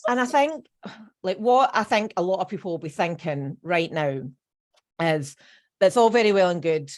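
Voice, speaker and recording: A woman's voice: on the low side, lively intonation, normal speed. A middle-aged woman hosting a podcast, bubbly and emphatic as she introduces and questions her guest. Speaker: podcast host. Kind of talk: casual podcast interview.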